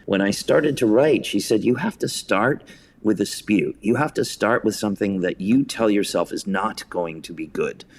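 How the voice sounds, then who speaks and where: Low-voiced man telling anecdotes. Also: moderate pace, gravelly, a little hiss or hum. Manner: anecdotal, hesitant phrasing, acts out quotes. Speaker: interview guest, theatre director and writer. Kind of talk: conversational storytelling interview.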